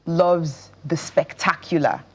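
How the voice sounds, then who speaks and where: A woman with a Nigerian accent talks in a casual interview as the guest. Her voice sits low for a woman, and she takes her time, explaining in a slow, measured way. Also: husky. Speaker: interview guest, stage actress.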